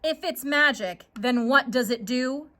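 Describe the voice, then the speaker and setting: Woman as an exasperated, high-pitched farm mother. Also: a little slow, bright, forceful tone. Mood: incredulous. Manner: stern, scolding, emphatic.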